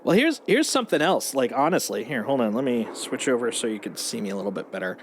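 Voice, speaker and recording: A man with a mid-pitched voice talks casually on a gaming stream. He rambles along in a loose, chatty way, with some noise and game sound behind him. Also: big pitch swings, fast talker. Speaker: live streamer playing a shooter game.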